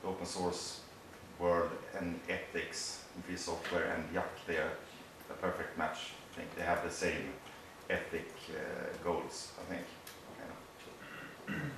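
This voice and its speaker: A man's voice: low-pitched, little pitch movement, slow, vocal fry. A man introducing himself at a workshop, earnest and a little hesitant. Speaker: workshop participant. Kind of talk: self-introduction. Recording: echoey room from far off, noisy.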